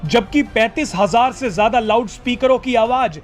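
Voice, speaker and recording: A man's voice: very high voice, moderate pace, soft background music. A man reading a scripted news report as a narrator.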